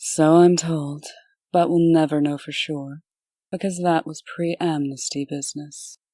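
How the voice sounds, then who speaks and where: A businesslike comic-dub official acted by a woman, proper and composed. Her voice sits very low for a woman and carries polite authority. The sound is close and dry. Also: fairly even intonation, measured pace, mellow, no room sound. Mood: matter-of-fact.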